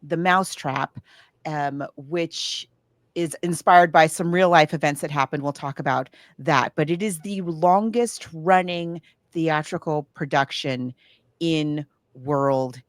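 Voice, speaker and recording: A woman's voice: deep voice, takes it slowly, no room sound, studio quality. A woman co-hosting a podcast, chatting casually about books and films in a digressive, thinking-aloud way. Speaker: podcast co-host. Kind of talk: casual book and film discussion.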